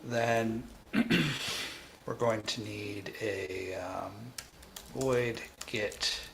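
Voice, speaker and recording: A man hosting a live coding tutorial, thinking aloud in a halting way and repeating phrases as he reasons. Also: on the low side, gravelly, fairly bright tone, slight background noise. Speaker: programming tutor and livestream host.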